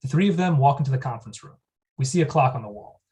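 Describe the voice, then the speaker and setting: Brief: male reader for stage directions, mid-pitched, matter-of-fact. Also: animated, quick pace, a little mellow. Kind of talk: flat reading of scene directions.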